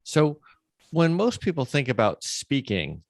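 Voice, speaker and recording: A man's voice: mid-range voice, wildly swooping pitch, unhurried. A man hosting an interview podcast, speaking in a conversational style. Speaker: podcast host.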